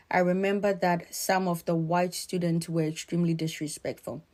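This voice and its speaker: A middle-aged woman from Ghana giving earnest, measured, emphatic spoken commentary. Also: lowish voice, darkish tone.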